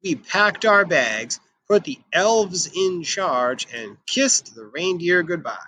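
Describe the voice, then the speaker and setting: Male children's storybook reader, higher-pitched and bright, expressive and emphatic delivery. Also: takes it slowly, a little hiss or hum, poor audio. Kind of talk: expressive read-aloud of a picture book for children.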